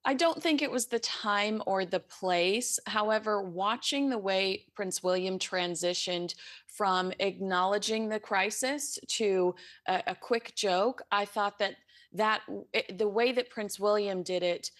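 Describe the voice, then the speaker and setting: A woman's voice: mid-range voice, measured pace, fairly bright tone. A woman with an American accent, speaking conversationally as a guest commentator in a news interview. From United States. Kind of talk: conversational punditry.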